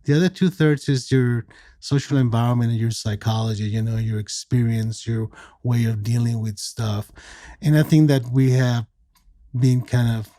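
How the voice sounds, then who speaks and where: A man in his sixties from Mexico, a psychiatrist explaining his work in a podcast interview with a measured, explanatory manner and frequent fillers. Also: medium pitch, fairly even intonation, warm tone, no echo, studio quality. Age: 60s. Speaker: psychiatrist guest.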